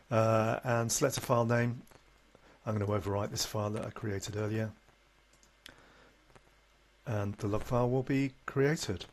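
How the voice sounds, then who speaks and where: A man presenting an informal step-by-step software tutorial, matter-of-fact and a little halting as he demonstrates. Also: fairly low pitch, a little hiss or hum.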